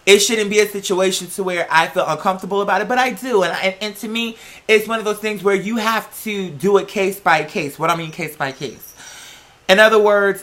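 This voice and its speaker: An American vlogger telling personal stories in a candid, chatty, slangy way, with drawn-out words for emphasis. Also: medium pitch, bright, forceful tone. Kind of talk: casual personal storytelling to viewers.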